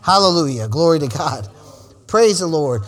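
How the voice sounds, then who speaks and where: A man in his forties preaching a sermon, calling out "amen" and "praise the Lord" between lines and building to shouted, repeated refrains. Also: on the high side, lively intonation, normal speed. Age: 40s. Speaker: pastor.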